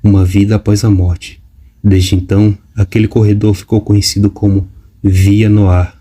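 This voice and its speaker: A man reading a storybook in a steady, scripted narration. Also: lowish voice, moderate pace. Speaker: audiobook narrator. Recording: music playing behind. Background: music.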